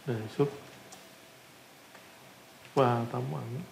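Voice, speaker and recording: A man with a Southern Vietnamese accent giving a casual software tutorial, often repeating short phrases as he demonstrates. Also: mid-range voice, flat delivery, extremely slow, vocal fry. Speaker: tutorial instructor. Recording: roomy echo with background noise.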